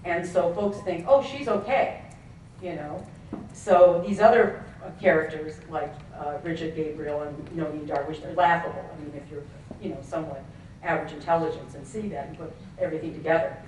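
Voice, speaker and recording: A professor speaks up from the audience at a conference, earnest and wandering through asides. The voice sits at a middle pitch and is heard far from the microphone in a very echoey hall, with faint noise behind it. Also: fairly even intonation, a little fast, darkish tone.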